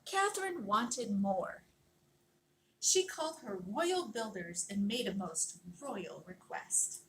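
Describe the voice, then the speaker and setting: A woman reading a children's picture book aloud in an expressive, dramatic storytelling manner, leaning on key words and drawing them out. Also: medium pitch, slow, slight background noise, low-quality recording. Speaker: storybook reader.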